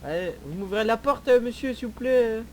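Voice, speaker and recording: A man's voice: high voice, expressive, brisk, slight background noise. A man improvising a cheeky, whining troublemaker under arrest in online game roleplay, speaking with mock politeness.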